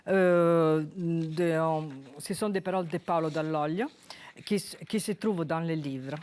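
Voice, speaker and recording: An Italian woman from Rome, an author being interviewed on television, speaking French with a Roman accent and pausing often to search for her words. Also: deep voice, darkish tone, slight background noise. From Italy. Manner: hesitant, searching for words, halting restarts. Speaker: author guest. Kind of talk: television interview with a read passage. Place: television studio.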